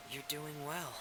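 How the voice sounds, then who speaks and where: A man playing a shy, earnest teenage boy mechanic in an anime game, soft-spoken, polite and gently hesitant. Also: a little high, rough recording. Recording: loud music behind the voice. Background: game music.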